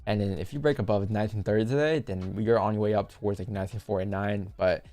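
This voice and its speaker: A young man with a low, gravelly voice hosts a live trading stream from a desk mic. He talks loosely to his chat, cracking jokes between chart calls. Faint music plays underneath. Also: steady tone, quick pace, mellow. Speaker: livestream trading host. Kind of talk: casual live commentary to chat.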